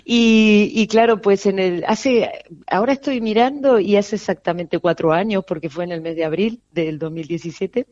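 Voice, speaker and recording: A woman from Argentina with a mixed Argentine and southern Spanish accent, answering as a pediatrician guest in a casual interview, halting and rephrasing as she goes. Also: mid-range voice, a little mellow, no echo. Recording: slightly dull, lo-fi audio.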